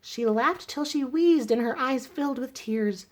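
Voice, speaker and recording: A woman reading a rhyming children's story aloud in an expressive narrating style. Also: high-pitched, a little slow. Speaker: storybook reader.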